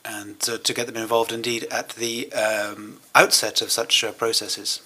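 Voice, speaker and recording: A man interpreting a German speech into English at a conference, with measured, hesitant phrasing and pauses. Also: mid-range voice, flat delivery, bright, forceful tone, slight background noise.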